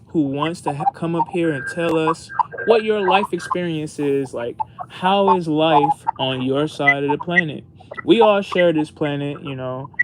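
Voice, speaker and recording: A man's voice: on the high side, noisy background. An American man co-hosting a podcast, chatting casually in an earnest, rambling way over an online voice call. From United States. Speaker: podcast co-host.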